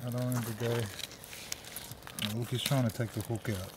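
A man's voice: mid-range voice, fairly even intonation, brisk, very noisy. A young Australian man giving casual fishing tips on a harbour wharf at night, rambling and swearing now and then, filmed on a phone. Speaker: fishing vlogger. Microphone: phone camera held close.

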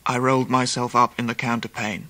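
A man playing a grown English narrator recounting his boyhood in a radio drama, in measured literary phrases. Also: medium pitch, flat delivery, bright, forceful tone, no echo, close to an everyday voice. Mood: wistful.

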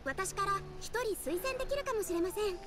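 A woman's voice: very high-pitched, noisy background. A woman voicing a composed young guild receptionist in an anime game, speaking formally and politely. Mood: helpful, composed. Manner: formal, courteous, measured. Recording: game music behind the voice.

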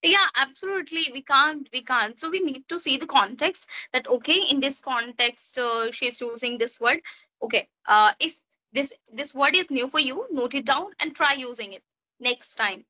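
A woman's voice: high voice, normal speed, pushed and bright, low-quality recording. A young woman with an Indian English accent, a native Hindi speaker, tutoring English casually on a video call and often adding "you know" and "right?". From Jharkhand, India. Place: small room indoors. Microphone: phone at arm's length on a video call.

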